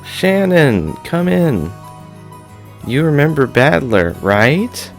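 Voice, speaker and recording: Man doing a rough-tongued teenage girl, pitched far up, brash, visual novel character. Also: very animated, slow pace, faint music behind, full character voice. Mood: casual, friendly.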